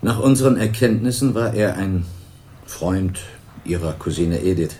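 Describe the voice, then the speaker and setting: An actor playing a calm, formal police investigator in a radio crime drama, measured and official. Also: male voice, deep voice. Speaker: police detective.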